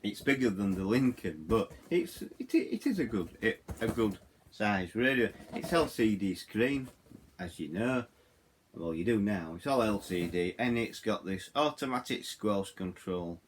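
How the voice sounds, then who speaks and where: A middle-aged or older man with a Yorkshire accent gives a casual, unscripted product review, haltingly, often repeating words and phrases. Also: fairly low pitch, animated, slight background noise. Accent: Yorkshire English. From Yorkshire, England. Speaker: hobbyist video host reviewing radios.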